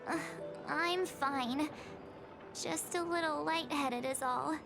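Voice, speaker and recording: A woman voicing a bubbly, headstrong teenage girl adventurer in a video game, perky and expressive. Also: high voice, slow, creaky, full character voice. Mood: flustered. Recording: game music behind the voice.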